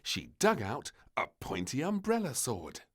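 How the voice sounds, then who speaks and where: A man narrating a children's story from a script, with expressive, deliberate phrasing. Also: medium pitch, husky.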